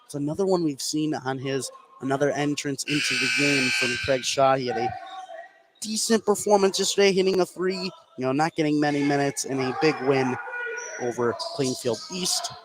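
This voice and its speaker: A man's voice: mid-range voice, moderate pace. A man doing live radio play-by-play of a basketball game, following the action in short clipped phrases. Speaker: play-by-play sports announcer. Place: indoor high school gym. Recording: live sports broadcast with clear gym noise. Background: clear game noise.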